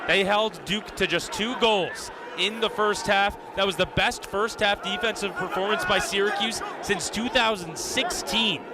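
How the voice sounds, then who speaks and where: A man's voice: sits high, a little slow, a crowd in the background. A young man calling live sports on the radio in clipped, vivid present-tense phrases. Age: young adult. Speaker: radio play-by-play announcer. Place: live at a lacrosse game. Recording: noisy live stadium broadcast. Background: venue ambience and noise.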